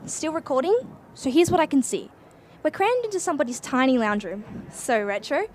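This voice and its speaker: An actress with an Australian accent playing a curious, cheeky young time traveller in a radio play, narrating in a chatty, wide-eyed way. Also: female voice, sits high, lively intonation, brisk. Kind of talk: radio play acting, breathless first-person narration.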